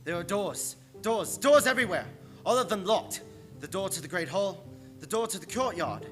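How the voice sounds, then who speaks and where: A man plays an anxious young English gentleman narrating his diary in a radio horror play. His voice is notably high for a man, earnest and dramatic, with an edge of desperation. Music runs clearly underneath. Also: expressive, normal speed. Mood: rising despair.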